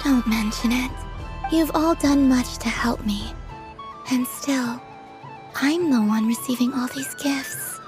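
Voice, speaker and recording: A woman voicing a gentle, serene, curious young moon goddess in an anime game, soft-spoken, polite and understated. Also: high-pitched, takes it slowly. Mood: quietly touched. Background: music.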